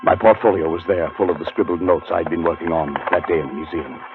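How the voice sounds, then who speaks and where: A man acting in a 1940s radio drama as a haunted, educated gentleman, telling his story in a formal, deliberate, dramatic way. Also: low-pitched, steady tone. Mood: agitated, urgent. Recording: muffled 1940s radio recording, music behind.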